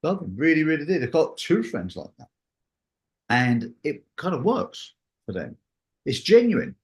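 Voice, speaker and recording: A man's voice: a little high, wildly swooping pitch, a little slow. A man in casual conversation, talking in a rambling, anecdotal way and acting out bits of reported dialogue.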